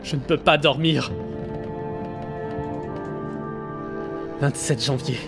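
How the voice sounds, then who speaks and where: A man playing a frightened sailor who narrates his journal gravely, in dramatic audio fiction. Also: fairly high pitch, fairly even intonation, normal speed. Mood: haunted, weary. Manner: grave, haunted storytelling. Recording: loud music under the voice.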